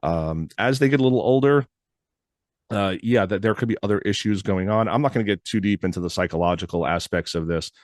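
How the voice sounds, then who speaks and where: A man from New York hosting a podcast in a casual, rambling monologue, his deep voice hoarse and froggy from a recent illness. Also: brisk, no echo, clean studio sound. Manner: rambling, loose, with frequent "uh" fillers. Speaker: podcast host.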